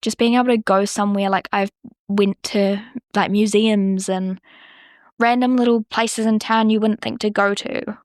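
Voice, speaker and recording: A woman's voice: medium pitch, steady tone, moderate pace, a little mellow, dry recording, clean studio sound. A young woman with a New Zealand accent, hosting a podcast in a chatty, rambling way full of "like". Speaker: podcast host. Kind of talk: casual solo podcast chat.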